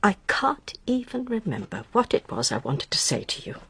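An actress playing a frail, ailing upper-class English widow in a radio drama, halting and bitter, with a weak, breathy, croaky voice. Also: female voice, medium pitch, big pitch swings, fairly bright tone, exaggerated voice. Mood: weary, wistful. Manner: halting, drifting.

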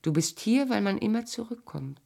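An actress in a German radio drama playing a bitter yet tender aging wife of a homecoming hero. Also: female voice, mid-range voice, expressive, normal speed, vocal fry, mellow. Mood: grave, knowing.

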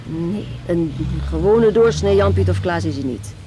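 A woman's voice: fairly low pitch, expressive, a little slow, dark tone, a little hiss or hum. An actress in a Dutch radio drama playing a curt, stubborn working mother who defiantly guards her son. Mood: proud, defiant. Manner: brusque, stubborn, dismissive.

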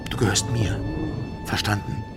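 A man playing a jealous, possessive pirate in a radio drama, gravelly and menacing, with deliberate delivery. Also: natural voice. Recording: distant from mic under loud music. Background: loud music.

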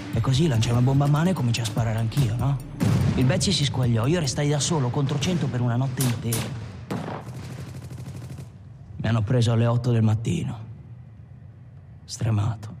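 A man's voice: medium pitch, natural voice. An actor playing an Italian postwar gangster who recounts his violent past in a conversational film monologue. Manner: conversational storytelling with rhetorical tags. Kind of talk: dramatic first-person monologue in a film. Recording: music behind the voice. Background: music.

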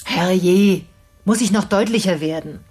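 Scornful educated older woman held hostage: medium-pitched husky voice, condescending, articulate, radio drama. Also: measured pace, darkish tone. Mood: scheming. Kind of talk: naturalistic radio drama acting.

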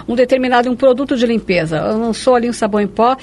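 A man's voice: very high-pitched, fast talker, a little hiss or hum. A man with a Brazilian Portuguese accent interviewing on the radio in a chatty, conversational way, echoing and confirming what is said. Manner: chatty, echoes and confirms the guest's words.

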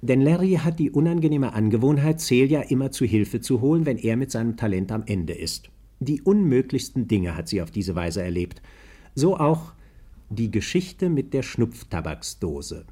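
A man's voice: mid-range voice, very animated, normal speed, mellow, breathy edge. A man narrating as the announcer of a 1950s radio serial, reading a scripted introduction in a playful, mock-official way. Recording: 1950s German radio recording.

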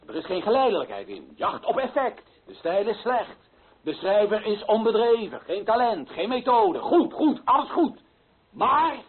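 Fiery outraged writer speechifying in a radio drama, huge pitch swings, raspy-husky, tinny narrow sound. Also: male voice, heavily acted. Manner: declamatory, rhetorical, emphatic. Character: impassioned, indignant male author denouncing colonial injustice. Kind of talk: dramatic radio play monologue.

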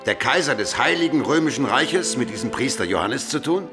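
An actor playing a proud, impatient medieval emperor in a German radio drama, speaking in an indignant, imperious manner. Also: male voice, medium pitch, lively intonation, fairly quick, over music, natural voice. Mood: skeptical. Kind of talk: German radio play acting.

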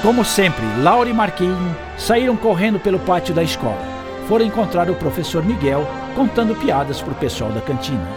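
Radio drama narrator: man, theatrical and very animated, speaking over a music bed. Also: high-pitched, fairly quick. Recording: music behind.

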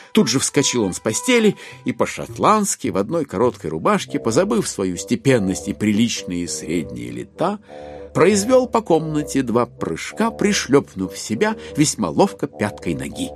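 Slow, highly expressive male literary narrator. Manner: theatrical, declamatory, with long pauses.

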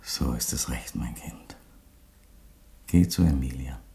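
A man's voice: rumbling low, slow, creaky, roomy sound, background noise, exaggerated voice. A man playing a solemn, defiant, fatherly Roman emperor in a theatrical radio drama, declamatory in manner. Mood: tender, grave. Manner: solemn, declamatory, from whispers to thunder.

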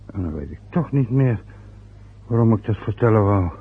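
An actor playing a fretful, rambling father turning sixty in an old radio play, with a husky voice. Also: lowish voice, animated, normal speed. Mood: musing. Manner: rambling, loses his thread. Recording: phone-like lo-fi old radio recording.

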